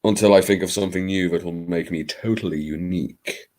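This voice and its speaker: Low-voiced, theatrical man livestreaming. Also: fairly even intonation, a little fast, darkish tone. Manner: theatrical, playful, swearing, jokey asides and accent bits. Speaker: livestream host. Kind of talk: casual live chat with viewers. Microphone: streaming microphone, not his usual video mic.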